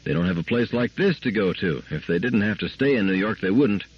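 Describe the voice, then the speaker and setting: A man's voice: on the low side, lively intonation, brisk, slightly rough, lo-fi sound. A man reading a story aloud, voicing a weary husband who grumbles and mutters his complaints. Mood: grumbling.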